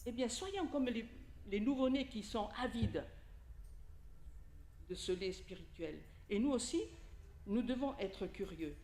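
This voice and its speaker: A woman's voice: on the high side, animated, unhurried, pauses often, warm tone. Italian-born woman preaching in a roomy hall. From Italy. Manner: conversational, addresses listeners directly with questions and asides. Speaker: lay preacher. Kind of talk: informal sermon to a congregation. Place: church hall during a service.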